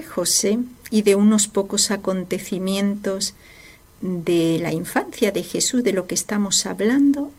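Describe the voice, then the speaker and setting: A woman's voice: mid-range voice, darkish tone. A woman giving a devotional radio reflection, earnest and emphatic, asking rhetorical questions. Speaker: religious radio speaker.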